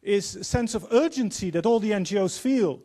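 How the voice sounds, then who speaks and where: A man in his fifties with a Dutch accent, giving an earnest, measured opening speech as panel moderator in a large auditorium, holding a handheld mic. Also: sits high, animated, warm tone. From Netherlands. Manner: earnest, measured, quoting speakers.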